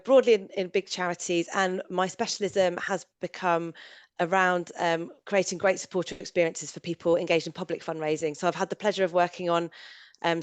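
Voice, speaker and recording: Female panelist, lower voice, eager tone, dead-dry recording. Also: clean tone, no echo. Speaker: fundraising consultant, panelist. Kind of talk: self-introduction on a panel.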